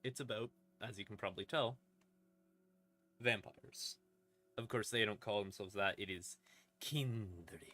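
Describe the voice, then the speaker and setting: Bright-voiced man, irreverent lore explainer. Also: fairly low pitch, a little slow, pauses often. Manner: irreverent, sweary, rambling. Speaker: streamer explaining tabletop game lore. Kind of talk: casual, comedic lore explainer. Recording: music playing in the background. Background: creepy ambient music.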